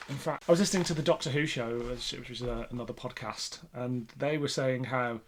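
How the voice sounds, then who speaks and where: A man's voice: medium pitch, brisk, slight background noise. A man co-hosting a podcast in casual, jokey, conversational fan chat. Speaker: podcast co-host.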